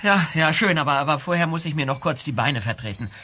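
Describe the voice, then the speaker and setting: Excitable teen for radio play: high, bright voice, restless delivery, some ambient noise. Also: male voice, fast. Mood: hurried, restless. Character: excitable, restless teenage boy detective. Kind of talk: German audio drama acting.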